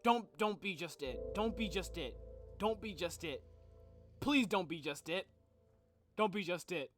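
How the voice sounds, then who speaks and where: A young man giving casual, excitable live reaction commentary, exclaiming and thinking aloud. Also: high-pitched, measured pace, over music. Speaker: reaction video host.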